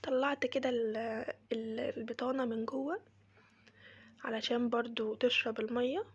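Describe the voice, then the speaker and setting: An Egyptian woman with a cold guides viewers through a casual home tutorial. Her voice is very breathy and sits a little high. She speaks in a soft, mostly whispered tone, with a narrow, even melody and friendly asides. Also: normal speed. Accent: Egyptian Arabic. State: has a cold, voice changed. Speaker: crochet maker demonstrating cleaning.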